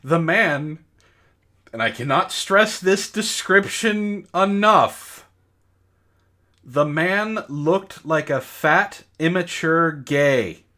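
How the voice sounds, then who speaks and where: Exasperated man, slow and deliberate delivery. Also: fairly high pitch, lively intonation, sharp tone. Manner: exasperated, sarcastic. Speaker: reader on a comedy book club show. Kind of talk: mock-dramatic reading of bad fan fiction with exasperated asides.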